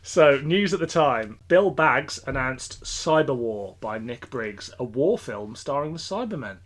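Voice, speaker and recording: Male podcast host, mid-pitched voice, conversational and a bit rambling, fan-to-fan delivery with a jokey edge. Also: lively intonation, unhurried. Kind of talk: casual chat about a TV show and its fandom.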